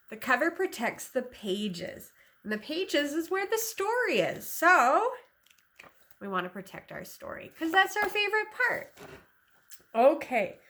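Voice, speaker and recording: A woman teacher reading a picture book aloud to young children in an animated, instructive way, asking questions as she goes. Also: high voice. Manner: instructive, questioning, slow deliberate phrasing.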